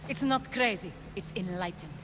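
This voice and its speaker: An actress playing a persuasive, cunning woman schemer in a video game cutscene, with a smooth, coaxing delivery. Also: lowish voice, animated, moderate pace, background noise, natural voice. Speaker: game character.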